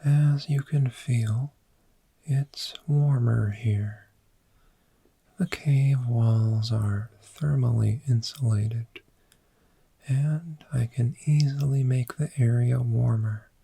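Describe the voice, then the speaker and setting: Man as a very slow, whispering friendly dragon. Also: mid-range voice, steady tone, heavily acted. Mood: cozy, welcoming. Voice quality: soft, breathy whisper. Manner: slow, soothing, unhurried with long pauses. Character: friendly, gentle cave dragon fond of sleep. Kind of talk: soft-spoken ASMR roleplay.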